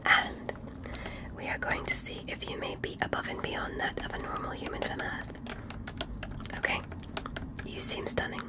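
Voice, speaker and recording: A woman voicing a gentle alien medical examiner in an ASMR roleplay, soothing, reassuring and politely clinical. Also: normal speed, pauses often, close to an everyday voice. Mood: soothing, calm.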